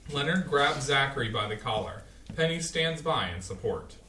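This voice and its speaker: A man's voice: mid-range voice, lively intonation, normal speed, natural voice. A man reading stage directions aloud as narrator for a play reading. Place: indoor playhouse staged reading. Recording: echoey room, distant, noisy.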